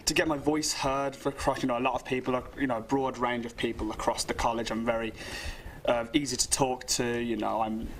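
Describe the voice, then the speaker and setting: A man's voice: medium pitch, little pitch movement, brisk, a little hiss or hum, low-quality recording. A young man running for student governor, rambling with many fillers. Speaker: candidate.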